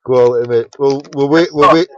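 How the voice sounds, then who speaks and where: Scottish guy hosting a late night phone-in, talks fast and loose, swears a lot, dull lo-fi audio. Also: medium pitch. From Scotland. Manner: chatty, swearing, repeats words often. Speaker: phone-in host. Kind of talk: casual phone-in chat.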